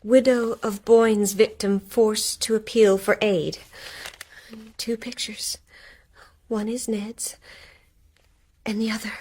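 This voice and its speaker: An actress in a radio drama playing an anxious, stricken wife who speaks in a hushed, intense way. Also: female voice, medium pitch, steady tone, takes it slowly, pauses often, breathy edge. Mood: hushed dread. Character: anxious, stricken wife uncovering a ghostly truth.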